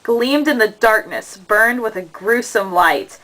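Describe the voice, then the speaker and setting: A woman giving a measured, dramatic narration of an epic poem as the storyteller of a puppet show. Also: a little high, expressive, fairly bright tone, low-quality recording.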